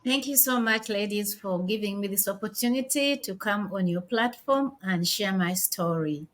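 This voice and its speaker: A woman's voice: medium pitch, moderate pace. A woman from Uganda, an accountant, introducing herself politely and gratefully as a guest.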